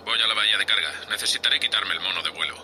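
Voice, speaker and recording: A man's voice: lowish voice, rapid-fire, bright, forceful tone, a little hiss or hum, low-quality recording. A man with a Spain Spanish accent playing a foul-mouthed, exasperated spaceship captain who scolds curtly in a sci-fi audio drama. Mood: resigned, businesslike.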